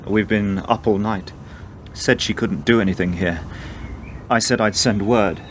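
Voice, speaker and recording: A man's voice: lowish voice, measured pace, slight background noise, lightly acted. A man in a radio play acting a worried, prim middle-aged father, an accountant, giving subdued, clipped answers.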